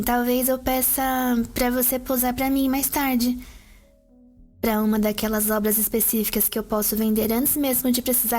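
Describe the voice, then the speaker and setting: A playful, smug vampire sister voiced by a woman with a fairly high voice. She teases and mocks in an ASMR roleplay drama, with faint music underneath. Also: darkish tone, natural voice. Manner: flirtatious teasing, mocking, playful. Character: teasing, vain vampire sister and painter, playful. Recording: music behind the voice.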